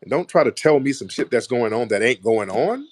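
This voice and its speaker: A man hosting a heated call-in debate, lecturing forcefully and repeating his points for emphasis. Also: mid-range voice, lively intonation, a little fast, dry recording. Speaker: host.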